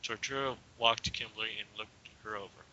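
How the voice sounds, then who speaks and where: A man's voice: fairly low pitch, fairly even intonation, brisk, bright, forceful tone, a little hiss or hum. A young man giving an amateur dramatic reading of a story, stumbling now and then and slipping into casual asides. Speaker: reader. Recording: dull lo-fi home recording.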